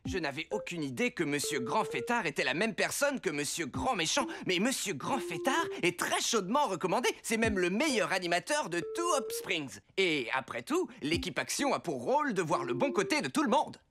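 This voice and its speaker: A man's voice: high voice, very animated, normal speed, hardly any pauses. A man voicing a kindly, enthusiastic mentor of young superheroes in a cartoon, encouraging and instructive. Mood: kindly, explaining. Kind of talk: animated cartoon dub acting. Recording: music under the voice.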